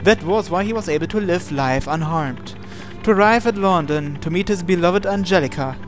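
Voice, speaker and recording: High-voiced man reading game narration. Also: normal speed. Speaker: gaming channel host reading aloud. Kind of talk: reading game narration aloud, with casual asides. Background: game music.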